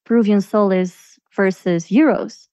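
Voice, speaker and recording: A woman's voice: mid-range voice, steady tone, a little slow, dark tone, clear, resonant tone, no room sound, muffled recording. A woman from Belgium with a Belgian accent, chatting casually as a podcast guest. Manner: chatty, with fillers and restarts. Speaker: podcast guest, virtual assistant. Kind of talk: casual interview answers.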